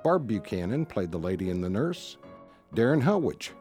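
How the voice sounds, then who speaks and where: A man's voice: on the low side, big pitch swings, normal speed, mellow, faint music behind. A man announcing the close and cast of a radio drama in a scripted style.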